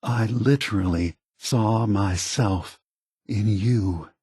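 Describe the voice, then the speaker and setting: Slow, solemn old android leader. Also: male voice, on the low side, darkish tone, slightly rough, no echo, studio quality, heavily acted. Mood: sorrowful, earnest. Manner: measured, deliberate, earnest, solemn. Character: calm, sorrowful, ancient synthetic android leader. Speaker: video game character voice actor. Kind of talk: scripted video game dialogue.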